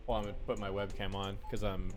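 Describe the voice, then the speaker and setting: A young man in his twenties with a deep voice, a bartender chatting casually and telling rambling stories, swearing freely. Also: little pitch movement, fast talker, over loud music. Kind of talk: casual chat while playing a game.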